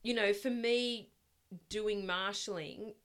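Loud, chatty woman. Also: medium pitch, steady tone, slow, clear, resonant tone. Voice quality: loud voice that projects. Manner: chatty and rambling, with frequent laughs and restarts. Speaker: guest, parent of the host. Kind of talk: casual interview chat.

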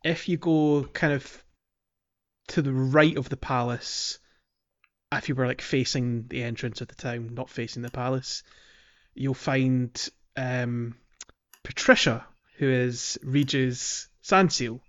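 A man's voice: mid-range voice, steady tone, takes it slowly, highs cut off. A man hosting a podcast, talking casually through a video game in a hesitant, explanatory way with colloquial British phrasing. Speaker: podcast host.

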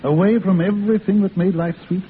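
An actor playing a kindly middle-aged hospital doctor, avuncular, deliberate and probing, in a 1940s radio drama. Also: male voice, high-pitched, fairly even intonation, close to an everyday voice. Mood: earnest, probing. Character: kindly, fatherly head doctor of a rehabilitation hospital. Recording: tinny, hissy old radio broadcast.

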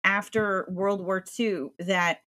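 A chatty woman talks through a film in a loose, rambling way, stacking up fillers as she goes. Her voice sits at a middle pitch. The sound is close and dry. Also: steady tone, slow pace, sharp tone, no room sound. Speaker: podcast co-host. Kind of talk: casual film discussion.

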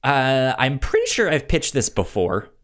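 Man with slow, stumbling, animated delivery. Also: mid-range voice. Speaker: podcast co-host. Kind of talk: casual comics pitch chat.

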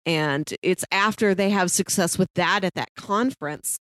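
A woman's voice: on the low side, moderate pace, a bit bright, no room sound. A woman co-hosting a podcast, talking through a review in casual conversation. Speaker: podcast co-host.

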